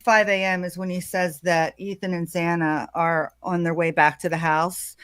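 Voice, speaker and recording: A woman talks through a true crime case in a casual, halting way, filling her pauses with ums and uhs. Her voice sits on the low side. The sound is dry, with no room echo. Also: flat delivery, clear, resonant tone. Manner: hesitant, full of ums and uhs. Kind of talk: casual video commentary.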